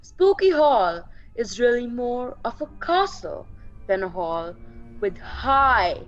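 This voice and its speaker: A woman narrating a scripted radio play in a measured, atmospheric, dramatic storytelling manner. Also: a little high, sing-song. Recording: dull lo-fi sound with music.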